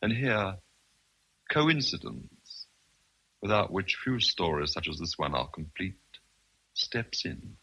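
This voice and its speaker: A man giving measured, literary narration for a radio drama. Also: sits low, very animated, bright, forceful tone, slightly rough, poor audio.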